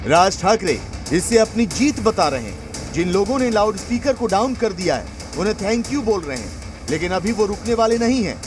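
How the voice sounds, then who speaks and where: A man with a high-pitched voice narrates a scripted news report. His delivery is emphatic and dramatic. Prominent music plays underneath him throughout. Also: very animated, normal speed. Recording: voice-over with music bed.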